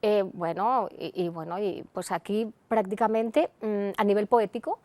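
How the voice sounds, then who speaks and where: A woman's voice: medium pitch, moderate pace, a little mellow, no room sound. Reflective Colombian-born woman poet, dry sound. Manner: reflective, many fillers and restarts. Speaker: poet, interview guest. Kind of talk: conversational interview.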